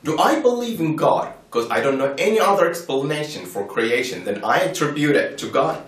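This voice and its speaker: A man's voice: a little high, animated, fairly quick, far from the mic, close to an everyday voice. A man playing a composed, insistent believer in a scripted debate, explaining patiently through questions and reasoning. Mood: patient, firm. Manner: didactic, question-and-answer reasoning. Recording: roomy echo, off-mic.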